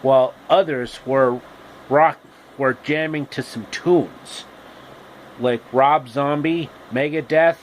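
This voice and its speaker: Slow-talking man telling a story, background noise. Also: medium pitch, low-quality recording. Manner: halting, repeats phrases. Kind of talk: improvised comic retelling of a fairy tale.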